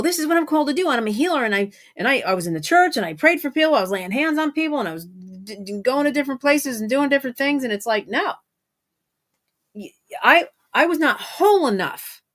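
A woman's voice: on the high side, a bit bright. Emphatic middle-aged woman podcaster. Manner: emphatic, repeats phrases for stress. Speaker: podcast host and spiritual healing guide. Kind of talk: unscripted solo podcast monologue.

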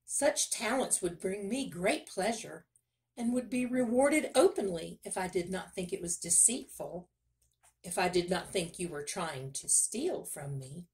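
A woman's voice: medium pitch, animated, measured pace, darkish tone. Woman playing a haughty, menacing storybook king. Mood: suspicious. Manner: imperious, formal. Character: haughty, suspicious, menacing male king of a fairy tale.

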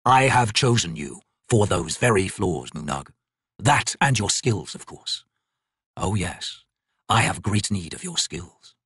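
Audiobook role: ailing sinister deity, read by a man, rasping, unhurried, menacing delivery. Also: lowish voice, sing-song, fairly bright tone, no room sound, clean studio sound, full character voice. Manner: slow, drawn-out, rasping. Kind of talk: audiobook character voice.

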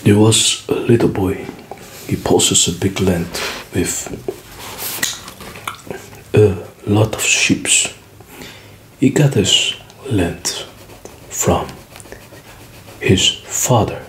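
A man whispering a story softly for an ASMR video, with gum-chewing clicks behind him. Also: fairly low pitch. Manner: soft whispering, slow and deliberate. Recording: echoey room with background noise.

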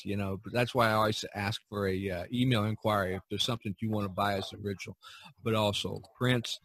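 Low-voiced man in casual podcast chat. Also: no echo. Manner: halting, chuckling, plugging his work. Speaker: podcast host and artist.

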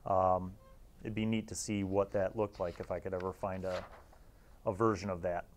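Middle-aged man, fairly low voice, casual reviewer, reflective, rambling commentary. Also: little pitch movement, moderate pace, a little hiss or hum. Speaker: video host and reviewer.

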